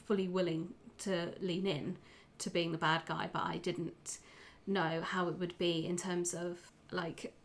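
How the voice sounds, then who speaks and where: A woman's voice: lowish voice, level tone, measured pace, few pauses. A woman chatting casually in a group discussion, hesitant as she pieces her thoughts together. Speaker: tabletop roleplay podcast player.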